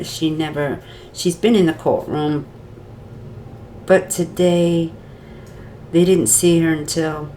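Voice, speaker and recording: A woman's voice: low voice, noisy background. A woman with a Wisconsin accent, talking her viewers through a day in court in a meandering, chatty way that drifts off topic and back. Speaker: vlogger recapping a court trial. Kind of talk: rambling casual commentary.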